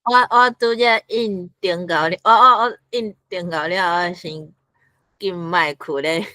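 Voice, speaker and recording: Bright, sharp-voiced woman. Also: lowish voice, unhurried, no echo. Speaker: forum participant and amateur play reader. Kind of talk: casual chat.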